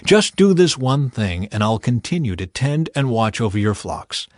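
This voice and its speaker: A man's voice: mid-range voice, big pitch swings, moderate pace, no echo, studio quality, natural voice. A man voicing an earnest, hardworking herdsman in an emphatic Bible audiobook reading. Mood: earnest, insistent.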